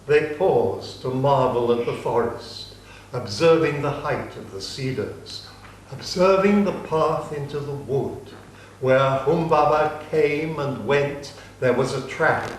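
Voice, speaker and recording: Deliberate male narrator, very echoey. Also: mid-range voice. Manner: solemn, deliberate. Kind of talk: dramatic scripted epic narration. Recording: very echoey room with faint noise.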